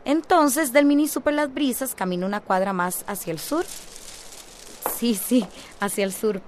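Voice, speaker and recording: Woman playing an eager, high-voiced young assistant. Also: normal speed, slight background noise, natural voice. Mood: polite, eager. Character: eager, polite, naive young female salon assistant. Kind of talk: radio soap acting.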